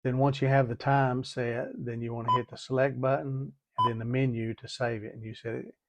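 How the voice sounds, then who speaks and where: A man's voice: medium pitch, little pitch movement, fairly quick, dull audio, very noisy. A man hosting a DIY tutorial, narrating product setup step by step in a plain, methodical, instructional way. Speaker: DIY video host.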